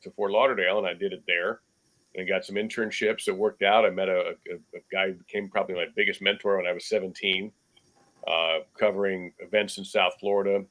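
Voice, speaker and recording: A man in his sixties, a sports broadcaster, telling stories in a relaxed, measured way as a podcast guest from a cluttered room at home. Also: low-pitched, low-quality recording. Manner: relaxed anecdotal storyteller, measured. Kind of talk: casual remote interview storytelling.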